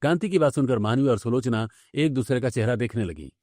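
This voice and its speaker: A man's voice: medium pitch, fairly quick, darkish tone. Male cartoon story narrator over music.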